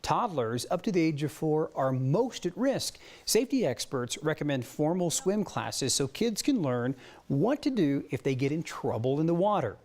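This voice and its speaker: A man's voice: on the high side, big pitch swings, moderate pace, darkish tone. A man with an American accent anchoring the news, moving between broadcast delivery and light studio banter.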